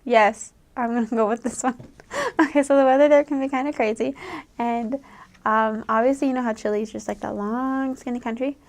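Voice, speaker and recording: Chatty young American woman, higher voice. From United States. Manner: chatty, laughs often, acts out quoted voices. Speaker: returned missionary. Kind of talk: casual advice talk.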